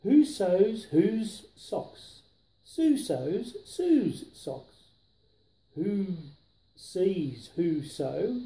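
An older man reading a children's picture book aloud with deliberate, emphatic delivery of the rhymes, recorded in a living room. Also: sits high, very animated, mellow, reverberant. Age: 60s or 70s.

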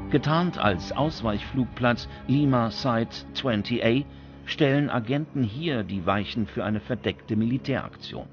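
A man reading scripted documentary narration as the narrator. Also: mid-range voice. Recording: dull recording with music bed. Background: background music.

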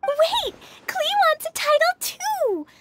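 A woman voicing an excitable, imaginative little girl in a video game, in a childlike voice with simple childish wording. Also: takes it slowly, full character voice. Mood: gleeful. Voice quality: high, childlike. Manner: speaks of herself by name in simple childish words.

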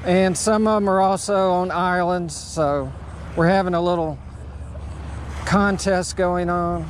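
An older American man with a fairly high voice narrates a casual vlog. He speaks slowly and haltingly, recorded outdoors on a handheld camera with faint noise around him. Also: fairly even intonation. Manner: halting, unhurried. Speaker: amateur radio operator and vlogger. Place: outdoors at a beachside park. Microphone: handheld action camera or phone at arm's length.

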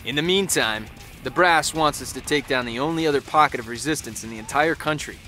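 A man's voice: medium pitch, a little fast, bright, forceful tone, close to an everyday voice. A man playing a weary soldier, a family man, narrating his war in a game cutscene. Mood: determined. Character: weary American male soldier, husband and father, recounting combat. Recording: music laid behind the voice.

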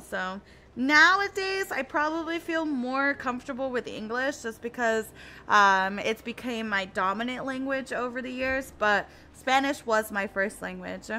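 A woman's voice: fairly high pitch, pushed and bright, slight background noise. A woman in her twenties from the United States, chatting casually with her stream viewers and reading their messages aloud while she plays. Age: 20s. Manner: chatty, laughs often, reads chat aloud.

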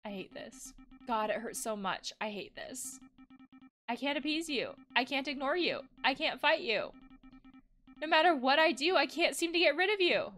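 A stressed young video game character, read by a woman, snaps emphatically in exasperation. The voice is high and bright, with big jumps in pitch. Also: lively intonation, normal speed, faint music behind. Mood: despairing. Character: stressed, angry young human battling own anxiety. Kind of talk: game dialogue read aloud.

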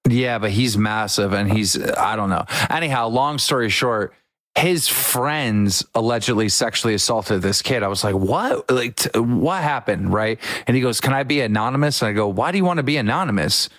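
A young man hosting a casual podcast, an excitable storyteller who acts out what people said in quick bursts. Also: mid-range voice, dry recording, clean studio sound. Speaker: podcast host.

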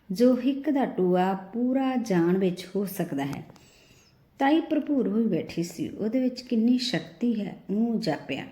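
A woman reading a literary story aloud in an expressive narrating style. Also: mid-range voice. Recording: roomy, echoing voice recording.

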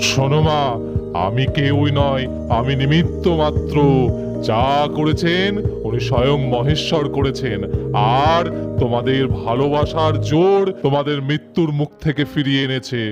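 A man voicing a kindly older guru in a children's doll story, speaking in a calm, soothing, blessing tone. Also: a little high, natural voice. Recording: dull lo-fi audio with loud music.

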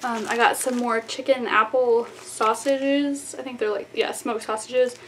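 Chatty high-voiced young American woman vlogger. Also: fairly even intonation, unhurried. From Texas, United States. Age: 20s. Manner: chatty, informal, says "like" and "y'all" often. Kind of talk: casual grocery haul chat to camera. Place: small plain indoor room. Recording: clearly noisy room background.

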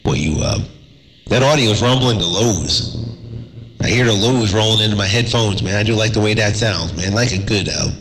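A man talks over CB radio from a desert station, easygoing and full of slang. His fairly low voice is a bit raspy from a cold. The sound carries a long reverb with a faint hiss of noise under it. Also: normal speed, fairly bright tone. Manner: laid-back, drawling, slangy. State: has a cold. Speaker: CB radio operator. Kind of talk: casual radio chatter.

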